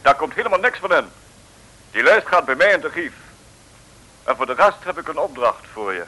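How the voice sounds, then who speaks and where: An actor playing an irritable, blustering colonel who scolds and exclaims in an old radio serial. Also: male voice, on the high side, very animated, a little fast, bright, forceful tone, full character voice, poor audio. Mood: outraged. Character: blustering, irritable male colonel and spy chief.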